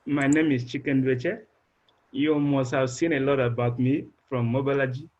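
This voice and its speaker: A Nigerian man and master's student introducing himself briskly with a light joke. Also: medium pitch, steady tone, a little mellow, lo-fi sound.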